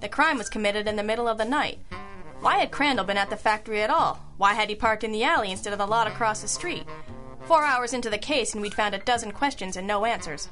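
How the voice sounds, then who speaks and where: A woman's voice: mid-range voice, fast talker, pushed and bright, natural voice. A woman playing a wry, self-assured girl detective, narrating an old-school radio mystery in a dry, wisecracking manner. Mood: wry, musing. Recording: music under the voice.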